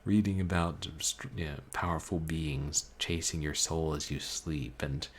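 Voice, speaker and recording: A man streaming a book reading, chatting casually with his chat in a calm, musing, halting way. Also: extremely low pitch. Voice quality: calming voice. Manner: musing, soft-spoken, halting. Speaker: streamer reading books aloud.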